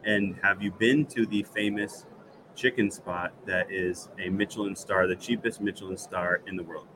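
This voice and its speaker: A man's voice: sits low, fairly even intonation, moderate pace, background noise. A man hosting a casual podcast interview, chatty and upbeat, asking friendly questions. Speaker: podcast host.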